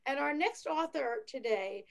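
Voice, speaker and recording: A woman's voice: high voice, animated, measured pace, gravelly. A woman hosting a book talk show, enthusiastic and chatty with many fillers. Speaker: host. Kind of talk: casual interview chat.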